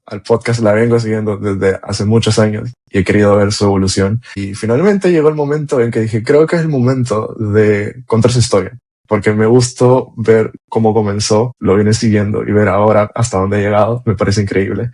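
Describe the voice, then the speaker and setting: A man hosting a podcast, talking in a relaxed, rambling way as he introduces his guest. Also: on the low side, normal speed. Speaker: podcast host. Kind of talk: casual interview talk.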